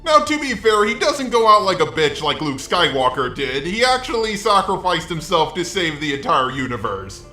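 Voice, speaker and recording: A man's robotic, synthetic voice gives sarcastic video commentary. It sits fairly high and sounds bright and forceful, with a roomy echo around it. The delivery is scripted, opinionated and peppered with swearing. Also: very animated, moderate pace, few pauses. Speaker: video essay host.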